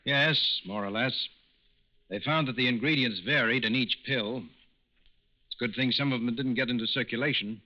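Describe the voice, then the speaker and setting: Actor as earnest fed partner guy, measured, businesslike, mid pitch, old radio drama with dull sound. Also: close to an everyday voice. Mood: businesslike, curious. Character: earnest male FBI agent, inquisitive partner. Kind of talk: 1940s radio drama acting. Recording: muffled 1940s radio recording.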